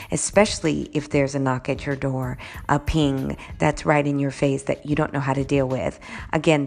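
Solo podcast host: woman with a slightly groggy voice, low and gravelly, casual talk. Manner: warm, conversational, says "right?" and "y'all" a lot.

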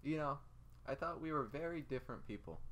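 A man's voice: mid-range voice, animated, fairly quick, fairly bright tone, a little hiss or hum. Teasing young man in casual banter. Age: young adult. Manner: teasing, playful. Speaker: podcast co-host.

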